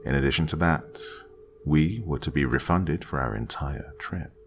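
A man with a deep, gravelly voice reading a horror story aloud in a slow, dramatic way, dropping to hushed whispers at tense moments. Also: a little hiss or hum. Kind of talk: scripted horror narration.

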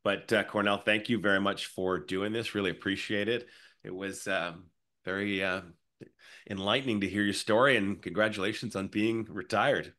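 A man's voice: on the low side, steady tone, normal speed, sharp tone. A middle-aged man interviewing casually as a podcast host over a video call. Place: indoor room, video call.

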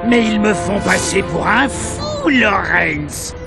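A man voicing a ranting, megalomaniac male mad scientist villain in a French video game dub, with a shrill, gravelly, cackling voice and game music behind. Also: wildly swooping pitch, slow, full character voice. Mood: scheming.

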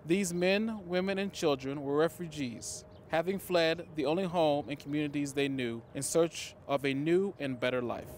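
A man in his thirties reading a scripted history presentation to camera, measured and formal, recorded on a lapel mic outdoors in a cemetery. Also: fairly high pitch, a little hiss or hum.

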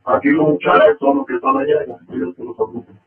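A man's voice: very high voice, big pitch swings, quick pace, vocal fry. A Mexican man on a phone call, deferential and placating, with a voice-changer effect. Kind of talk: phone conversation. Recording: tinny, echoey phone line.

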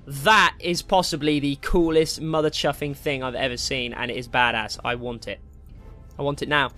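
A man's voice: medium pitch, steady tone, moderate pace, bright, forceful tone, soft background music. A young British man giving casual, rambling, jokey gameplay commentary, easily distracted as he goes. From Britain. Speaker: gaming video host.

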